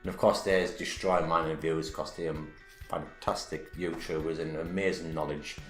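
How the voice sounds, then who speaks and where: A man with a deep voice, hosting a casual channel update vlog, rambling and correcting himself with enthusiasm. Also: level tone, moderate pace. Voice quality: self-described deep voice. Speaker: YouTube channel host. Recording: roomy echo with faint background music.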